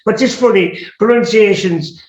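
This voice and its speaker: A man's voice: high voice, normal speed. A man with an Irish accent hosting a casual interview, musing aloud and often restarting his words. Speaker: host. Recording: echoey room, dull lo-fi sound.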